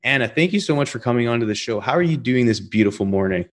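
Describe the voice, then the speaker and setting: A man from Canada hosting a podcast, chatting in a friendly, relaxed way with frequent restarts. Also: medium pitch, fast. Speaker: podcast host. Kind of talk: casual interview chat.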